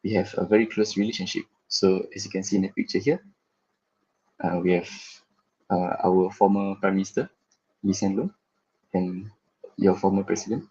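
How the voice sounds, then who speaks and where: A man with a Singaporean English accent gives a class presentation in a low, husky voice. He keeps a flat, level delivery and pauses often on fillers. The sound is dull and lo-fi. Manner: measured, with frequent filler pauses. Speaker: guest presenter.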